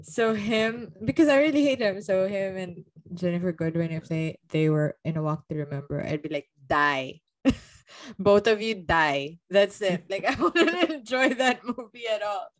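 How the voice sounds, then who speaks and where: A woman's voice: mid-range voice, lively intonation, a little slow, no room sound. A woman co-hosting a book podcast, chatting casually with blunt, emphatic opinions and a ready laugh.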